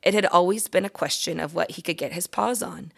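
A woman reading a children's book aloud as a guest story reader, with expressive delivery. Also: fairly low pitch, a little fast, vocal fry, breathy edge.

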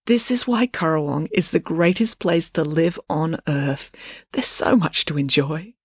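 A woman voicing a cheerful, knowing female local in a children's audiobook, breezy and excitable. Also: sits low, unhurried, slightly rough, dry recording, studio quality, exaggerated voice. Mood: delighted.

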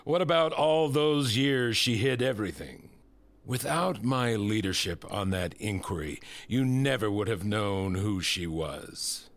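A man playing a smug, manipulative disgraced senator in an audio drama, condescending, deliberate and insinuating. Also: lowish voice, fairly bright tone, exaggerated voice.

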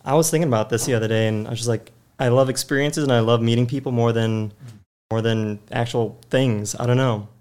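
Man, late 20s, podcast guest, upbeat, eager, fast talker. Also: mid-range voice, fairly even intonation, a little mellow, clean tone. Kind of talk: casual chat.

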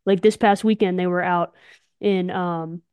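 A woman's voice: on the low side, steady tone, unhurried, darkish tone, smooth, no echo. Enthusiastic young woman, close dry sound. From Alabama, United States. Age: young adult. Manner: enthusiastic, emphatic, slangy. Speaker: podcast co-host, softball player. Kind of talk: casual podcast chat.

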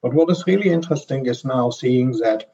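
German man, hesitant consultant guest, husky and mellow, slightly dull audio. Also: medium pitch, fairly quick. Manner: hesitant, many ums and restarts. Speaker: supply chain consultant guest. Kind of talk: podcast interview answers.

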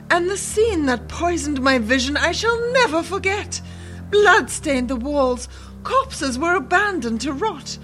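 Bold young bride, radio fairy tale. Very high, animated voice, theatrical suspense, light music underneath. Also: female voice, heavily acted. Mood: grave, defiant. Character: bold young bride, demure yet defiant storyteller.

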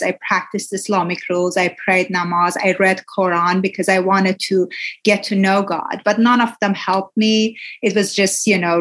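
A woman's voice: medium pitch, fairly bright tone. A woman in her forties speaks English with a Persian accent, since Farsi is her first language. She tells her story in an earnest way, often stopping on "uh" and making small slips in grammar. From Iran. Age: 40s. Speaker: interview guest. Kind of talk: personal testimony in an interview.

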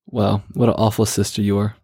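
A man's voice: lowish voice, monotone, fairly quick, warm tone, husky. A man hosting a casual phone interview with a teasing, bantering way of talking. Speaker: host of a call-in segment.

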